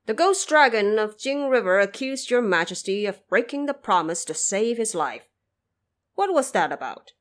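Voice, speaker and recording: A solemn ghost king of the underworld , played by a woman holds court in an audio drama. The speech is formal and declamatory, courteous but stately, at a middling pitch for a female voice. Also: big pitch swings, moderate pace, natural voice.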